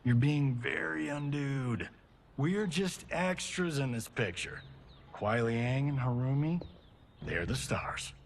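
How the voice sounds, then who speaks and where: A cocky laid-back movie star. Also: male voice, medium pitch, animated, takes it slowly, creaky, slight background noise. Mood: breezy. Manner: breezy, slangy, joking. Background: cinematic music.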